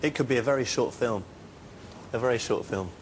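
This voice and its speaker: A young English man with a mid-range voice presents live television in a polite, brisk and affirming way. He steers the chat and hands over to callers. A faint hiss of noise runs underneath. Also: medium pitch. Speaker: TV shopping presenter.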